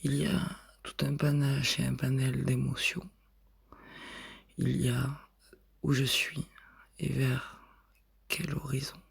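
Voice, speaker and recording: A man reciting spoken-word poetry in short, measured phrases, with a husky, breathy voice that often drops to a whisper. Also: medium pitch, monotone.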